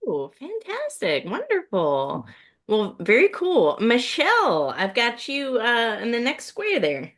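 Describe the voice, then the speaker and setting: A woman's voice: a little high, huge pitch swings, takes it slowly. A woman hosting a community video call, bubbly and enthusiastic, laughing often as she welcomes people. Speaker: host of a speakers' community meeting.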